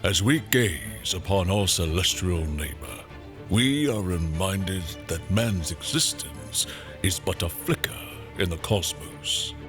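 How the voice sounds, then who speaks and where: A grave, mysterious storyteller for an audio drama. His voice is deep and unhurried, with soft music underneath. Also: expressive. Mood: ominous, reflective. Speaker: narrator. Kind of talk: dramatic scripted narration.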